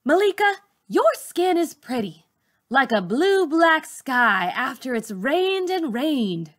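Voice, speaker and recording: A woman's voice: high-pitched, big pitch swings, slow, exaggerated voice. A woman voicing a poised, gracious female teacher in a storybook, polite and composed in manner. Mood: admiring.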